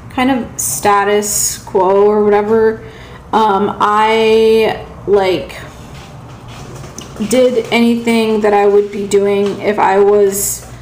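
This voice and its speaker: A woman's voice: medium pitch, a little mellow, a little hiss or hum. A young woman with an American accent rambles hesitantly through a casual personal vlog, recorded at home on a device held at arm's length. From South Carolina, United States, originally Pakistan. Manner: hesitant, rambling, halting with long pauses. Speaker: vlogger. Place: small indoor room at home with shelves. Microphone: camera or phone at arm's length. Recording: echoey small room.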